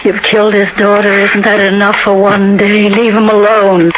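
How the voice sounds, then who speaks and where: An actress playing a grieving young mother and wife in a 1970s radio drama, measured and dramatic. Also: medium pitch, level tone, over loud music, natural voice. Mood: bitter. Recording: muffled, very noisy 1970s radio recording.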